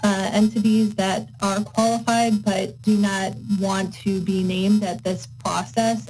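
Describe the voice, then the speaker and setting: A woman giving formal public comment over an online meeting call, measured and pausing to find words. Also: fairly low pitch, gravelly, a little mellow, low-quality recording. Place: remote video meeting call.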